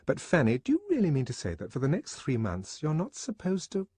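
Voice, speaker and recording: A man's voice: on the high side, huge pitch swings, a little fast, warm tone, husky, no room sound, voice pushed up, full character voice. A man voicing a young woman who answers back defiantly and protests.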